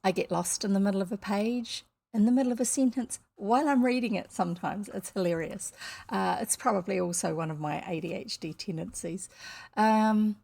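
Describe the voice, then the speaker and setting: A woman's voice: mid-range voice, moderate pace, vocal fry, a little mellow. A New Zealand woman streamer chatting casually to her viewers, rambling along with lots of fillers. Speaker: storytelling streamer.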